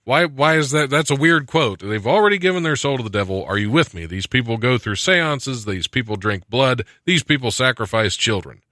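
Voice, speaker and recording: A man's voice: mid-range voice, animated, brisk, few pauses, bright, forceful tone, no room sound, clean studio sound. A man hosting a casual comedy podcast, reading news items and breaking off into sarcastic, joking asides. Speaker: podcast host. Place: studio.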